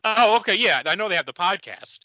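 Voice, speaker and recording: A man's voice: high-pitched, expressive, fairly quick, dry recording. Man chatting, muffled dry audio. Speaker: podcast panelist. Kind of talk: casual discussion.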